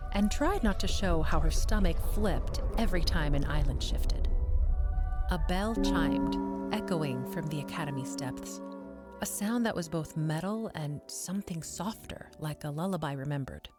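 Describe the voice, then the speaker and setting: A woman reading scripted fantasy narration in a measured, descriptive way. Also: deep voice, over music.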